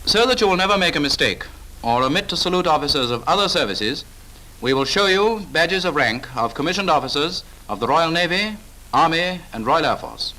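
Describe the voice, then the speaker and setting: A man's voice: a little high, animated, a little fast, pushed and bright, rough recording. A British man narrating a 1940s instructional film in a clipped, authoritative, instructive manner, on an old film soundtrack.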